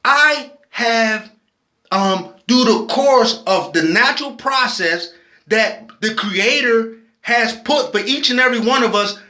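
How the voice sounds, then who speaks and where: A late-forties man, talking in a very high, bright voice. He is impassioned and preachy, speaking slowly and often restarting his sentences. The sound carries a roomy echo. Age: 40s. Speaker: vlogger. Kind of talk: personal video commentary.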